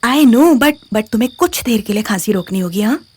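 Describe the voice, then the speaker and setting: A woman's voice: on the high side, lively intonation, moderate pace, darkish tone, breathy edge. A woman playing an anxious but shrewd young woman in an audio drama, urgent, with an Indian accent. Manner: urgent, mixes Hindi with English.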